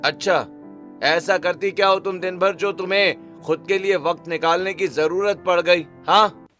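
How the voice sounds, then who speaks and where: A man's voice: sits high, steady tone, unhurried, fairly bright tone, pitched above the performer's own voice. Irritated, demanding husband played by a male narrator for a cartoon family drama, music underneath. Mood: scornful. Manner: scornful, demanding. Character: irritated dismissive husband scolding his wife.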